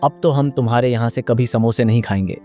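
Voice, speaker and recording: Mid-pitched indignant customer acted by a man. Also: fast, warm tone, soft background music, natural voice. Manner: complaining. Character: indignant male village customer. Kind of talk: Hindi children's moral-story cartoon dub.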